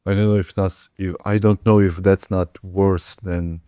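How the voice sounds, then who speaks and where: A man's voice: low-pitched, little pitch movement, a little slow, dark tone, no echo, studio quality. A man hosting a podcast, chatting casually with his guest in short, simple remarks. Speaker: podcast host. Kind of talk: casual interview chat.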